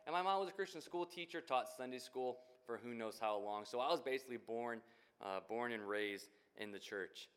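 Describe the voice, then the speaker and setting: A man's voice: medium pitch, a little fast, a bit bright, clean tone. A man in his twenties with an American accent giving an informal testimony to a congregation, conversational and full of casual asides. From Northwest Indiana, United States. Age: 20s. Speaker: youth minister candidate. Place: church, speaking to congregation.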